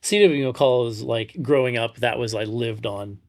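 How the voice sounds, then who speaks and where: A man's voice: medium pitch, moderate pace, vocal fry, no echo, clean studio sound. A middle-aged man chatting casually as a podcast co-host, often repeating and restarting his phrases. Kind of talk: casual chat.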